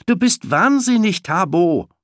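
Children's audiobook: frightened young girl, played by a man, high-pitched, loud, urgent warnings. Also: expressive, unhurried, no room sound, studio quality. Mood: alarmed. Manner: urgent, shrill shouting.

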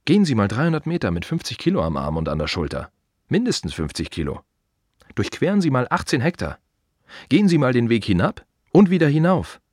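An actor playing an earnest, proud and reflective gravedigger in a radio play monologue, speaking in measured, short clipped phrases with pauses. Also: male voice, mid-range voice, huge pitch swings, a little mellow, slightly rough, no echo, clean studio sound. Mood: earnest, matter-of-fact.

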